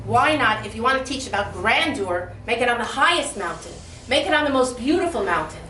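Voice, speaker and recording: A woman from Detroit giving a lively, humorous speech at a community event, heard from far off in a hall. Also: on the high side, a little fast. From Detroit, Michigan. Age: older. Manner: lively, humorous, speaks from the heart. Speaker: guest speaker. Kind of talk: public speech. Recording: echoey hall from far off, faint noise.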